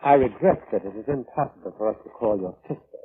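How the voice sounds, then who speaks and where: Actor as a formal coroner, tinny old radio. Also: male voice, fairly low pitch, a little hiss or hum, lightly acted. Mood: quietly ominous. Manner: formal, measured, precise. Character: unflappable, courteous, quietly ominous male coroner. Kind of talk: 1940s radio drama acting. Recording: muffled vintage radio recording.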